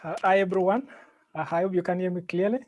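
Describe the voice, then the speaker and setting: A man from South Sudan who leads a youth peace group, introducing himself quickly with frequent fillers. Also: high-pitched, steady tone, a little mellow, no room sound. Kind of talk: self-introduction on a call.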